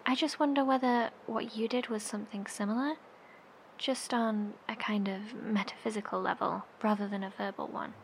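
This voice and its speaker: Horror podcast role, female actor: careful, earnest woman investigator, medium pitch, soft and tentative. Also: steady tone, moderate pace, slight background noise, lightly acted. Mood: tentative, concerned. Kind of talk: horror audio drama acting.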